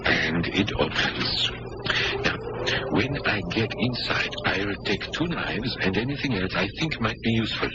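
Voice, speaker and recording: An actor playing a resourceful, quick-witted French pilot prisoner with a confident, take-charge manner in old-time radio drama. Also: male voice, on the low side, lively intonation. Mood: decisive. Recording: noisy muffled old radio recording.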